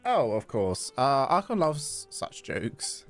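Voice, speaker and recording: A man puts on a grandly courteous game shopkeeper who teases in ornate, theatrical phrases. The pitch swings about and the voice has a gravelly edge, over faint background music. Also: huge pitch swings, slow, full character voice. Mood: mock-dramatic.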